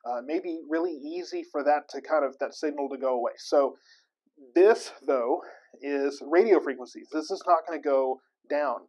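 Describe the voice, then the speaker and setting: A man with an American accent and a slightly high voice hosts an informal explainer. He talks in a folksy, conversational way, often halting and feeling for the next phrase as he goes. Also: darkish tone, dull audio. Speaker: host of weather tips segment. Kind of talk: casual explainer to camera.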